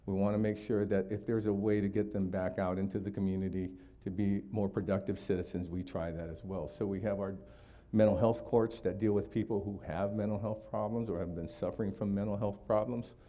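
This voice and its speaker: A man's voice: fairly low pitch, fairly even intonation, brisk, warm tone, a little hiss or hum. A man in his sixties from Hawaii, giving a community talk for a district attorney's office, explaining steadily with frequent fillers.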